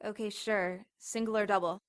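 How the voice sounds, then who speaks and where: An actress playing a chatty, casually sarcastic young motel desk clerk in an audio drama. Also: female voice, mid-range voice, steady tone, moderate pace, natural voice. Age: young adult. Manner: casual, sarcastic, then terrified.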